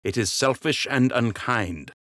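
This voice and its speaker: A man voices a noble rabbit king who speaks with calm authority and fatherly firmness. The voice is lifted a little above the narrator's low natural tone. The recording is dry and intimate. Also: no room sound. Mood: gently stern. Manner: measured, warmly stern. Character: wise, dignified male rabbit king.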